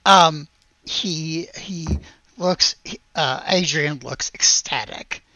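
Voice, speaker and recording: A man narrating a tabletop game as game master, halting as he searches for words. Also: on the high side, bright, forceful tone, no room sound, lo-fi sound.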